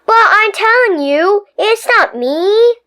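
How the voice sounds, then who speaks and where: A woman's voice: extremely high pitch, animated, slow pace, bright, forceful tone, voice pushed up, exaggerated voice. A woman voicing a put-upon schoolboy who pleads and protests in exasperation. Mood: pleading.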